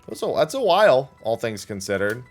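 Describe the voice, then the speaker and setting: A man's voice: medium pitch, very animated, a little fast, soft background music. A man giving casual, rambling livestream commentary as he plays a game, thinking aloud. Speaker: livestream gamer and host.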